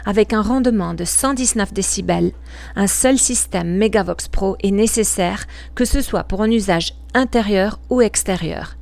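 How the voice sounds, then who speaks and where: A woman reading a scripted promotional product narration in a measured, articulate and informative way. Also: medium pitch, gravelly, darkish tone.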